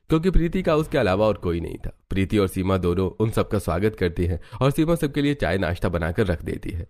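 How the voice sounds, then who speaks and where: Man, low voice, scripted bedtime moral story narration. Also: darkish tone.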